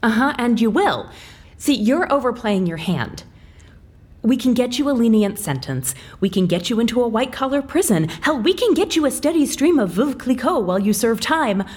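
A woman's voice: fairly high pitch, sing-song, moderate pace, darkish tone. An actress playing a blunt, indignant, sarcastic female intelligence agent in an audio drama. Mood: firm, impatient.

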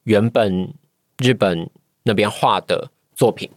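Podcast guest: Taiwanese-accented man, lowish voice, hesitant and reflective, dry sound. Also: takes it slowly, no echo. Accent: Taiwanese Mandarin. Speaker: guest, voice-acting trainee. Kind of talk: reflective podcast interview chat.

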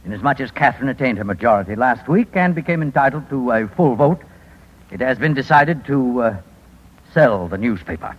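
A man's voice: medium pitch, animated, fairly quick, close to an everyday voice. A man acting a formal, hesitant, apologetic family lawyer in a 1950s radio drama. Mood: formal, uneasy. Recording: muffled, reverberant vintage radio recording.

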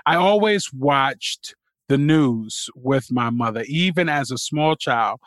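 A man's voice: mid-range voice, expressive, takes it slowly, fairly bright tone, dry recording. A middle-aged man from Queens, a college president telling his life story as a guest in a podcast interview, earnest and reflective, with fillers and restarts. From Queens, New York.

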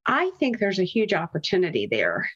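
A woman talks as a guest in a podcast interview. Her voice is medium in pitch, her answers are conversational, and the recording is slightly dull and lo-fi. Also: wildly swooping pitch, moderate pace, fairly bright tone, husky. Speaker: podcast guest, marketing executive.

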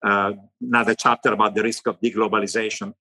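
A middle-aged economist with an Italian accent, answering interview questions in long animated lists. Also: male voice, fairly low pitch, fast talker. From Italy. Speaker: economist guest.

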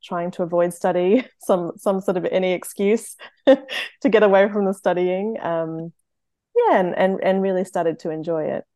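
An Australian woman from Queensland, a vet, answering interview questions with many pauses and ums. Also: lowish voice, dry recording, studio quality. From Brisbane, Queensland. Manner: hesitant, many ums, laughs softly. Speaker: guest, veterinarian and runner. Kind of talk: casual interview chat.

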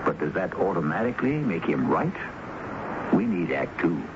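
A man hosting a radio drama with theatrical scripted narration, musing and deliberate, asking rhetorical questions. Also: sits low, very animated, very noisy. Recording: muffled old radio broadcast. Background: loud music.

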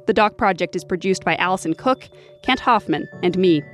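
A woman's voice: on the low side, lively intonation, moderate pace. A woman hosting a documentary program, reading scripted credits. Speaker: podcast host. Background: music.

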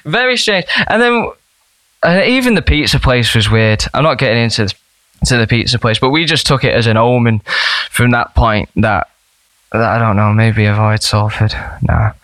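A young man, a band member chatting as a podcast guest, telling rambling, animated stories. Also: mid-range voice, moderate pace, dry recording. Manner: animated, rambling storyteller with laughs. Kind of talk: casual storytelling chat.

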